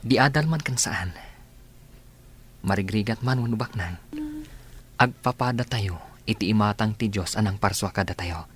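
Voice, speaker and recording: A man's voice: on the low side, normal speed, long pauses, husky. An actor playing a kind, sincere young male suitor with an Ilocano accent in a radio drama. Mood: sincere, romantic. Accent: Filipino, Ilocano.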